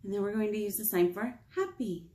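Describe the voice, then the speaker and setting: An American woman in her thirties leading a picture-book storytime for small children, playful and expressive, asking them questions. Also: mid-range voice, a little fast. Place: small indoor room with whiteboard.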